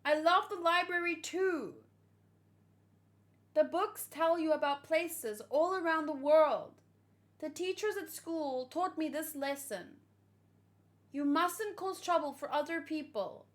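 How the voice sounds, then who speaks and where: Woman reading as an earnest little girl, high-voiced. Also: takes it slowly. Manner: sweet, polite, plain-spoken. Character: earnest, selfless young giant girl.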